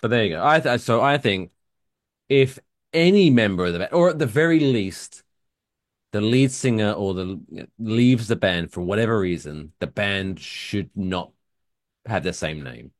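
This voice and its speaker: A low-voiced man co-hosting a podcast, chatting casually. He is an animated storyteller whose pitch swings widely as he builds into emphatic outbursts. The sound is dry and close. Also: unhurried, no room sound. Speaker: podcast co-host. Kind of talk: casual chat.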